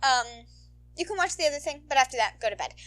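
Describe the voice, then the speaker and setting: A woman's voice: sits high, animated, fast talker, a bit bright. A young woman chatting casually to her listeners as a channel host, in a playful, rambling, bubbly manner. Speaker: podfic reader and channel host.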